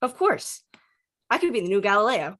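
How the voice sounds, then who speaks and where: A woman's voice: medium pitch, sing-song, normal speed, a bit bright. A woman acts out a teenage dreamer in a film drama read-through. The delivery is dry and wry, drifting between teasing banter and earnest musing. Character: Dante, a dry, wry, star-loving teenager. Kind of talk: table read of a film script.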